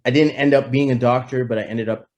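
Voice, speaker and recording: A man in his thirties, a guest on a casual interview, speaking in a relaxed, matter-of-fact way with frequent restarts. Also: medium pitch, quick pace, dull audio. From Toronto area, Canada. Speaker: guest, business founder.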